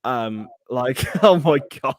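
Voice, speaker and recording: A man's voice: mid-range voice, expressive, slow pace, dry recording. A young Englishman co-hosting a casual podcast, rambling with many restarts. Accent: non-Scouse English. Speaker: podcast co-host.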